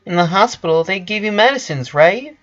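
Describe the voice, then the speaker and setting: A man's voice: high voice, lively intonation, a little fast, fairly bright tone, voice pushed up. A man voicing a naive, ditzy teenage girl with a hesitant, questioning manner, in a story reading. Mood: worried, confused. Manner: hesitant, filler "like", pleading questions. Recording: dull lo-fi sound.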